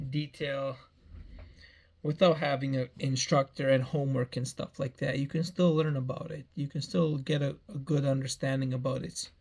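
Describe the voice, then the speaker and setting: A man's voice: mid-range voice, vocal fry, darkish tone. A man in his early thirties giving a meandering personal life update, often repeating himself and restarting phrases. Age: 30s. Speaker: vlogger.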